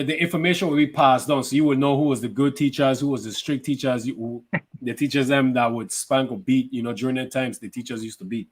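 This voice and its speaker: A man hosting a podcast, asking loose, repetitive questions and sharing memories. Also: medium pitch. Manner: loose, repeats words while framing questions. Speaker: podcast host. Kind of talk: casual interview.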